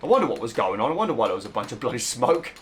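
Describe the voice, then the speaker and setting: A young British man with a slightly rough, hoarse throat, chatting casually through gameplay commentary and breaking into mild exclamations. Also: mid-range voice, very animated, racing, slight background noise. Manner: chatty, swears mildly, bursts into exclamations at the game. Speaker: let's play host.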